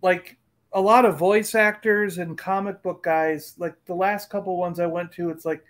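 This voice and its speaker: A man's voice: sits high, steady tone, normal speed. A middle-aged man in casual chat, talking in a rambling, emphatic way and repeating himself. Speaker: collector and panelist on a fan livestream.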